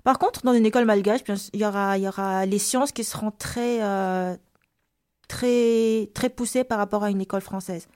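A woman's voice: medium pitch, steady tone, moderate pace, mellow. A woman from Madagascar with a light Malagasy accent in her French, answering interview questions casually and hesitantly, with many fillers and repeated words. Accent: slight Malagasy French. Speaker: guest.

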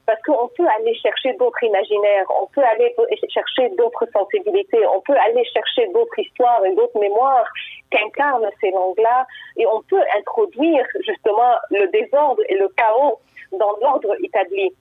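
A woman author with as her talks reflectively, pausing often to search for words. Her voice is a little husky and sits somewhat high. It comes through a thin, tinny telephone line with no room echo. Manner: thoughtful, reflective, with frequent hesitations. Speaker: guest author. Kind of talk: conversational interview answer.

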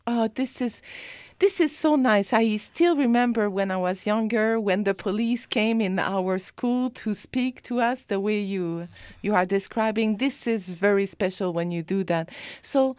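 A woman hosting a radio interview for children, with halting, hesitant phrasing. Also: medium pitch, a little mellow, clear, resonant tone, no room sound, clean studio sound. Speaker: radio show host.

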